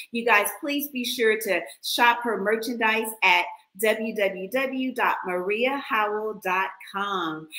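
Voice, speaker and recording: A woman hosts a motivational show, effusive and animated, with a bright, forceful tone. Her voice is fairly high and rings in a roomy space. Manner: effusive, animated, casual y'all. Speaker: host. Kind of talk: enthusiastic show hosting.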